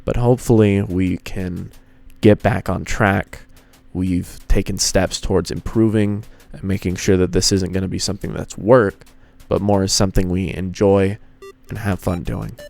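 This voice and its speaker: A man's voice: deep voice, fairly even intonation, normal speed, creaky, a little mellow, no echo. A man hosting a fan fiction reading and narrating in a casual style. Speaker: host and fan fiction reader.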